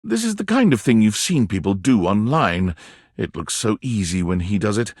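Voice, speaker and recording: A man playing a wry, theatrical narrator of a playful dating game, telling scenes in the second person with expressive, dramatic delivery. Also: on the low side, moderate pace, husky, no echo, clean studio sound.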